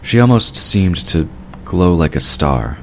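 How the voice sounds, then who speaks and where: A man's voice: low-pitched, very animated, a little slow. A man reading scripted third-person narration for a fantasy audio drama. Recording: clear background noise of night crickets.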